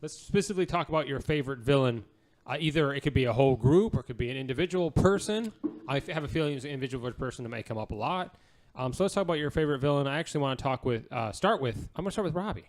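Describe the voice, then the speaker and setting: A man with a medium-pitched voice hosts a podcast. He moderates in a casual, chatty style, handing questions around the group. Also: expressive, rapid-fire. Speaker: podcast host.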